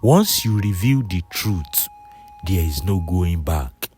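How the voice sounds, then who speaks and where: A man's voice: sits low, sing-song, slow, darkish tone, soft background music, voice pushed down, full character voice. A man voicing a caring, cautious motherly woman in a storybook folktale, with a solemn, concerned manner.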